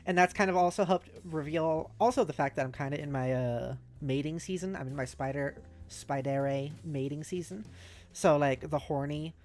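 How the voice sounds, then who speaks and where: A woman streamer chatting casually with viewers, giggly and halting as she thinks aloud and reads out messages. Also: low-pitched, a little mellow. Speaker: streamer, host. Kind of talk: casual livestream chat, reading out viewer messages. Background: music.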